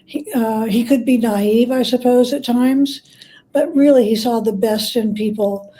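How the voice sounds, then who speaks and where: Mellow-voiced woman, slightly high pitch. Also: steady tone, measured pace. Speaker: guest, church historian and biographer. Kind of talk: conversational interview, storytelling.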